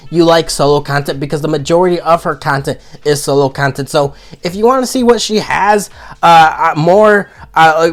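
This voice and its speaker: A man with a froggy, sore-throated voice from being sick, giving a blunt, profane comedic review in a casual monologue. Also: fairly high pitch. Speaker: video reviewer.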